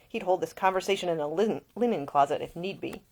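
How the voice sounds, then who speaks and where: A woman reading her own fiction aloud as scripted audiobook narration, with an expressive storytelling delivery. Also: fairly low pitch, quick pace. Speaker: author reading own novel.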